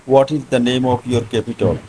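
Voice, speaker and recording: A Bangladeshi man in his thirties talks in simple English, often repeating his questions. His voice sits at a middle pitch. A clear background noise runs under this video call. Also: steady tone, fairly quick, mellow. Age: 30s. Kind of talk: casual video chat. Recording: noisy video call line.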